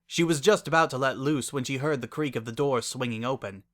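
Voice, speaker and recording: A man's voice: medium pitch, fairly quick. A man reading scripted dramatic narration as a story narrator.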